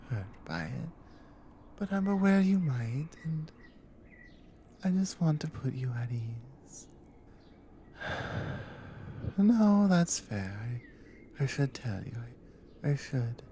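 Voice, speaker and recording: A woman playing a devoted, teasing Nordic warrior wife in an intimate ASMR roleplay, speaking in a soft, breathy murmur. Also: rumbling low, slight background noise, heavily acted. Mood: hesitant, wry. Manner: soft, breathy, hushed murmur with unhurried pauses.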